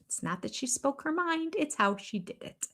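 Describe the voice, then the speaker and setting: A woman's voice: high-pitched, animated, moderate pace, pushed and bright. Chatty older woman hosting a watch-along. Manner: chatty, reads viewer chat aloud. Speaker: YouTube host. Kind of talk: casual commentary while watching a show.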